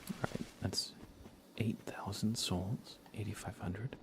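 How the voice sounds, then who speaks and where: Low, creaky-voiced man, hushed gamer chat. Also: steady tone, slow pace. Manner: hushed, often slipping into near-whisper. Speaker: livestreamer and game player. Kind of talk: soft ASMR gaming commentary, casual chat.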